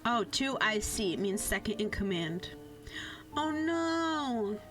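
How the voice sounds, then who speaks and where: A woman's voice: mid-range voice, sing-song, slow pace, fairly bright tone. A woman livestreamer chatting casually and reading out chat, playful, theatrical and sweary, with lots of slang. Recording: background music under voice. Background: music.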